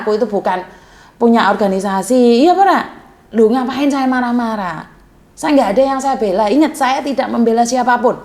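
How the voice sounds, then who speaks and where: A woman's voice: a little high, a little mellow. A woman hosting a call-in advice chat, speaking bluntly and plainly, by her own account coarse and outspoken. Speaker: host of a call-in advice channel. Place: Hong Kong.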